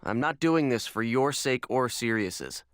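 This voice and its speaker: A man's voice: mid-range voice, expressive, measured pace, fairly bright tone, no room sound, studio quality. A man voicing a brusque young male player-hero in a game, curt, dismissive and sarcastic.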